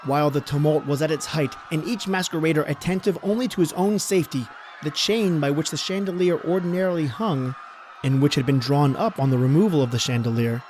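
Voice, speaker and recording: A man giving a scripted gothic horror narration in a measured, formal storytelling manner. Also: on the high side, a little mellow, slight background noise.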